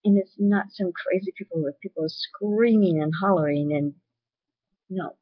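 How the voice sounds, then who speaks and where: Candid woman vlogger, husky webcam audio. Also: fairly low pitch, moderate pace, dry recording, dull audio. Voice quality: loud voice. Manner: candid, earnest, sharing personal experience. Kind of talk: personal video talk.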